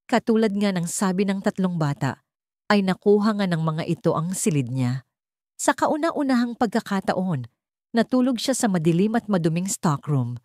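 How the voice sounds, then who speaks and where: A woman's voice: medium pitch, big pitch swings, moderate pace, darkish tone, no room sound, studio quality. A woman narrating a scripted dramatic audio story as a storyteller.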